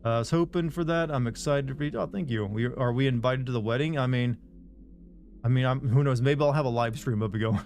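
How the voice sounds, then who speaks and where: A man's voice: mid-range voice, a little fast, a little mellow. A man streaming a game, chatting casually and reading out viewer messages, rambling and making self-deprecating jokes.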